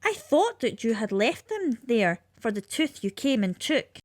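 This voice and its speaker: A woman's voice: a little high, very animated, unhurried, dry recording, studio quality. A woman voicing an earnest, bewildered chief dentist in a read-aloud storybook. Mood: puzzled. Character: puzzled chief dentist man. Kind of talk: storybook character voice.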